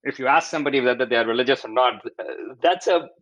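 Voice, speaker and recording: A man's voice: mid-range voice, quick pace, a bit bright, rough recording. Middle-aged Pakistani-accented male researcher. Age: 50s. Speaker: academic researcher, astronomer. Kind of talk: interview answers explaining research.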